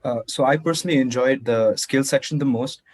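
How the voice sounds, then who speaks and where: A man's voice: mid-range voice, a little fast, a little mellow. Teen boy from Nepal, thoughtful panelist on a video call.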